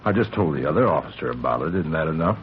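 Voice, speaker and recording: Defensive American bar owner, lively-pitched. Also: male voice, fast talker. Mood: defensive, strained. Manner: clipped sentences, boastful, defensive, streetwise slang. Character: tough, self-justifying bar owner, shaken robbery witness. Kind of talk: 1950s radio police drama acting. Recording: muffled, hissy 1950s radio recording.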